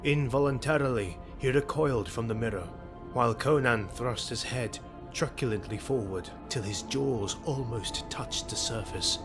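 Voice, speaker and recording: A man's voice: medium pitch, normal speed, music in the background. A man giving scripted, dramatic narration of a fantasy story.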